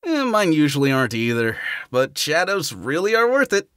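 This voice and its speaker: A man's voice: a little high, sing-song, moderate pace, a bit bright, clear, resonant tone, no room sound, studio quality. A man voicing an eager, friendly young male hedgehog in a fan audio drama, easygoing and upbeat.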